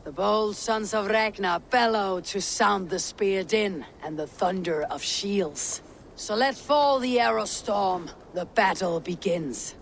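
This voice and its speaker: A woman's voice: mid-range voice, slow, vocal fry, slight background noise. A woman playing a steadfast, poetic Viking warrior in a game cutscene, composed, solemn and resolute. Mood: solemn, rousing. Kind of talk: video game cutscene acting.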